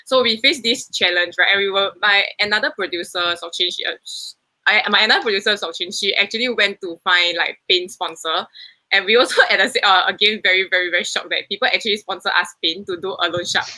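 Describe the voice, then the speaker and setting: Young woman, Singaporean accent, bright voice, chatty, keeps correcting herself. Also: medium pitch, fast, poor audio. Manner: rambling, self-correcting, chatty. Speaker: student film producer. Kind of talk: casual interview answers.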